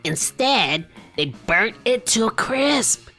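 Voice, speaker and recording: Guy putting on a snooty grumpy young hipster dude in a game, affected n sarcastic, slow drawl. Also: high voice, big pitch swings, sharp tone, faint music behind, voice pushed up, full character voice. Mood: indignant. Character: disgruntled, snobbish young male hipster vampire student.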